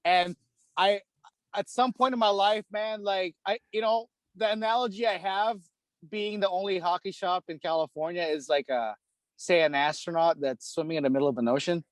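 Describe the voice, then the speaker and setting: A man's voice: high-pitched, normal speed, no echo. A man from the Philippines, a hockey repair shop owner, chatting casually as a podcast guest, rambling with lots of "dude" and "you know".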